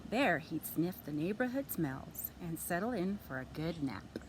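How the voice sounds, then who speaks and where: A woman reading a children's story aloud expressively, with deliberate, teacherly phrasing. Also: big pitch swings. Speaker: storytime reader. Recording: clear background noise, vehicle rumble. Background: background noise.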